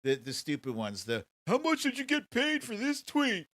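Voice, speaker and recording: A man's voice: very high voice, huge pitch swings, moderate pace, a bit bright, dry recording. An American man hosting a livestream, chatting casually and mockingly as he comments and answers viewer questions in colloquial speech. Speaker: host of a live commentary show.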